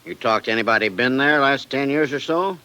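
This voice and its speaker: An actor playing a weary, dying old space veteran with folksy, rough-hewn speech, in 1950s radio drama style. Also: male voice, medium pitch, brisk, sharp tone, lightly acted, rough recording. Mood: wry, probing.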